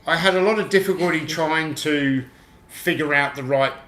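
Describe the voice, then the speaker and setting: A man's voice: on the high side, moderate pace, fairly bright tone. A man from the UK hosting a casual livestream, chatting and reading out viewer comments. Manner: chatty, reads out viewer comments, laughs easily. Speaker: livestream host and toy auctioneer. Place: home room in Australia. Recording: echoey room.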